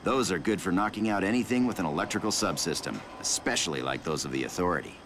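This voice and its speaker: A man's voice: sits low, expressive, fast, noisy background. An actor playing a friendly, confident male mechanic in a wasteland video game, with a familiar, assured manner. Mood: pleased. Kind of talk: video game character acting.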